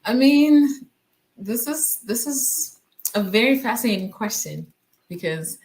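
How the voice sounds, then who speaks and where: A woman's voice: fairly high pitch, expressive, slow pace, darkish tone, poor audio. A woman from Nigeria, a physician guest in an interview, speaking reflectively with frequent fillers and hesitations. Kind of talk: conversational interview.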